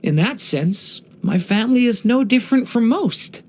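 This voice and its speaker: Gentle dragon boy from a video game, earnest n full of wonder, pitch goes up and down a lot, middle register. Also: animated, moderate pace. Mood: thoughtful, sincere. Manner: soft-hearted, earnest, wondering, careful storytelling delivery. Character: gentle, earnest young boy who was once a small dragon. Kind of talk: scripted game character voice lines.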